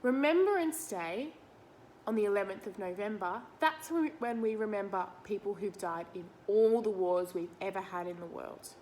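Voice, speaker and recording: A woman's voice: medium pitch, normal speed, darkish tone, a little hiss or hum. A woman reading a picture book aloud to children, explaining it simply and putting questions to the listener. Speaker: read-aloud teacher.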